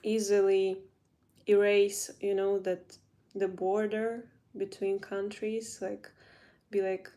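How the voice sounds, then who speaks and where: A young woman from Ukraine with a Ukrainian accent, chatting casually in English on a phone livestream and often pausing to search for words. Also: medium pitch, little pitch movement, mellow. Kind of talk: casual livestream chat while doing makeup.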